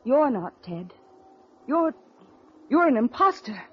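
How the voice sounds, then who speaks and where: Anguished, high-voiced 1940s radio heroine. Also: female voice, sing-song, takes it slowly, over loud music, exaggerated voice. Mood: bewildered. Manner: emotional, dramatic delivery. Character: anguished young woman waiting for her missing foster brother. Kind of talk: 1940s radio drama acting. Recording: dull vintage radio recording.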